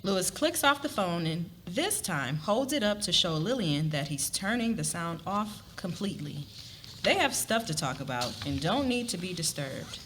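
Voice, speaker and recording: A woman's voice: fairly low pitch, big pitch swings, moderate pace, fairly bright tone. A woman narrating a scripted story expressively at a live dramatic reading. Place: live event before an audience. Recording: live reading with clear ambient noise.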